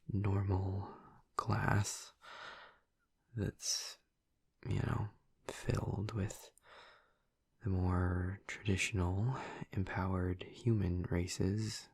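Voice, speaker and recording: Hushed, gravelly young male vampire played by a man. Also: low-pitched, dry recording, exaggerated voice. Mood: relaxed, rambling. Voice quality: breathy, husky, gravelly murmur. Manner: hesitant, rambling, casual. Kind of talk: intimate audio roleplay addressed to the listener.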